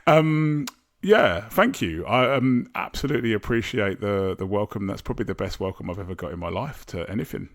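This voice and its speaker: A man's voice: fairly low pitch, lively intonation, fairly quick. A man, a podcast guest talking casually, rambling with many fillers and restarts. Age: 40s. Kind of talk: casual interview chat.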